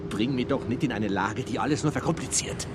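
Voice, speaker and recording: An actor playing an anxious, folksy mountain-film director in a radio drama. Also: male voice, mid-range voice, a little fast, very noisy, close to an everyday voice. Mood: pleading. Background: prominent music.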